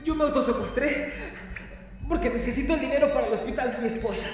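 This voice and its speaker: An actor playing a terrified male captive in an audio drama, sobbing and pleading as he haltingly confesses. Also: very high-pitched, soft background music. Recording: cavernous reverb, heard from another room.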